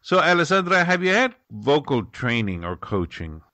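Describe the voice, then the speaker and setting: A man's voice: on the high side, sing-song, normal speed, pushed and bright, no room sound. A man hosting an interview show, asking questions in an upbeat way with some hesitations.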